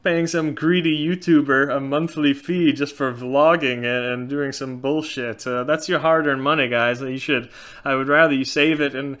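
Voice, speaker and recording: A man in his thirties with a light Norwegian accent answers viewer questions in a casual, outspoken way. His voice is bright and crisp. He sits close to a webcam in a small indoor room. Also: mid-range voice, normal speed. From Norway. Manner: frank, animated, gestures a lot. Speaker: channel host. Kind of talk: casual Q&A chat.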